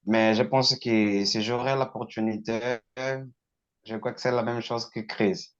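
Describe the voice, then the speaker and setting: A man from Angola whose first language is Portuguese, speaking French in a halting way with frequent laughs in a casual practice chat. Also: mid-range voice, fairly even intonation, highs cut off. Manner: slow, halting, laughs often. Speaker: participant.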